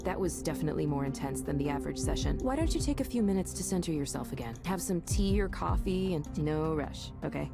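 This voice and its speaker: A low-pitched female supervisor speaks in a measured, reassuring way while staying firm. She is calm and matter-of-fact, with loud music playing underneath. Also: vocal fry. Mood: understanding, gentle. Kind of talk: natural video game voice acting.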